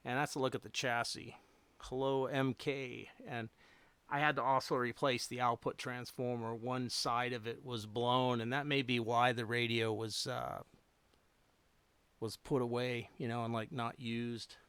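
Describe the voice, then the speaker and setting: A man chatting casually and unscripted as a hobbyist livestream host, rambling a little and thinking aloud in halting phrases. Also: mid-range voice, flat delivery, a bit bright, smooth, no echo. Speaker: radio repair hobbyist hosting a livestream.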